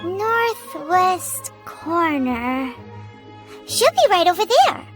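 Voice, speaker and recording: Tiny game fairy girl, extremely high squeaky voice, swooping intonation, chirpy, excitable, childlike. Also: drawn-out words, soft background music, heavily acted. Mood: curious, chirpy. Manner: chirpy, refers to self in third person, exclaims. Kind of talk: animated video game voice acting.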